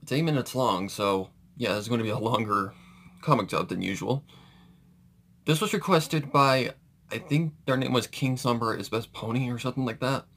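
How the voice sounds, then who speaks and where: A man's voice: mid-range voice, moderate pace, fairly bright tone. A man hosting a reaction video, giving casual commentary in an informal, hesitant, rambling way.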